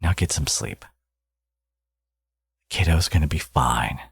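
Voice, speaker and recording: A man playing a smug, teasing supervillain and doting new father in an intimate ASMR audio roleplay, murmuring playfully and cockily in a breathy, gravelly voice. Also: a bass voice, slow pace, dry recording, clean studio sound, full character voice. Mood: teasing, reassuring. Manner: playful, cocky, murmuring to a lover.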